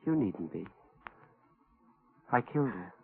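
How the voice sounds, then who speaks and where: An actor playing a calm, brooding escaped killer with quiet menace in a 1940s radio thriller. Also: male voice, fairly low pitch, takes it slowly, gravelly, slightly rough, heavily acted. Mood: cold, matter-of-fact. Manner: quietly menacing, matter-of-fact. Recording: tinny 1940s radio recording.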